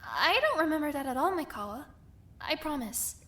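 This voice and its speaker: A woman voices a cheerful young woman who keeps a group meeting on track in a fan-made audio drama. Her voice is very high and bright, and she talks in a peppy, organized way with a touch of nerves. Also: big pitch swings, normal speed, heavily acted. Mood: upbeat. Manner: peppy, organized, a little nervous.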